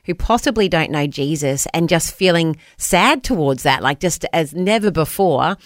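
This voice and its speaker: Female NZ radio co-host, lively and eager, lowish voice, dry close sound. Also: moderate pace, dry recording. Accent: New Zealand. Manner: enthusiastic, animated asides.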